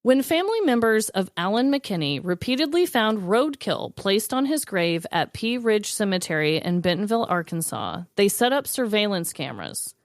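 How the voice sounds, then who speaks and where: A woman's voice: medium pitch, very animated, normal speed, few pauses, dry recording, clean studio sound. A woman co-hosting a podcast, telling stories in casual chat as she runs a game.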